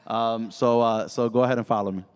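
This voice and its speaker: A man in his forties, a branch manager giving casual, animated advice on a panel, tossing in "right?" often. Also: medium pitch, fairly quick, smooth. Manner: animated, peppers talk with "right?". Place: conference hall. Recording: echoey hall.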